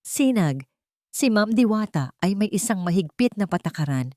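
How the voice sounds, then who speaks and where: A woman's voice: medium pitch, expressive, normal speed, darkish tone, no room sound, clean studio sound, lightly acted. A woman voicing a two-faced senior housemaid in a story, an aunt figure who admonishes firmly. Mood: firm warning.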